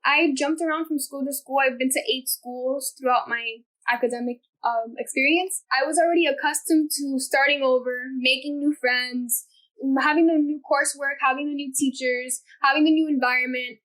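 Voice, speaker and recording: A teenage girl from Miami, a student advisor as interview guest, talking earnestly and eagerly in quick runs of thought. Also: high voice, fairly even intonation, a bit bright, poor audio. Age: teens. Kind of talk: casual interview chat.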